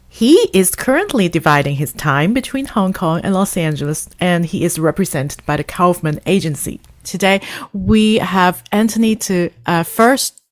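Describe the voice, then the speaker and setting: A woman's voice: on the low side, lively intonation, moderate pace. A woman hosting a casual podcast interview, asking questions with frequent fillers. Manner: reads a long guest introduction, with fillers. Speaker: podcast host.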